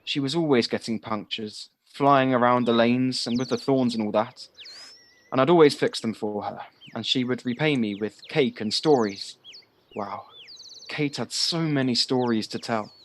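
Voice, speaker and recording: A man's voice: medium pitch, normal speed, natural voice. A man acting a frank, earnest boyish village lad in an audio play, recorded at home. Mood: earnest, chatty. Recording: home recording on personal device, faint noise.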